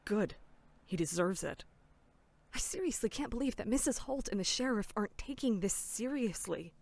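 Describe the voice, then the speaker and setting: A woman's voice: mid-range voice, lively intonation, moderate pace, gravelly, a little mellow, no room sound. A woman acting as an earnest, anxious and indignant young camp counselor in an audio drama. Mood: indignant, worried.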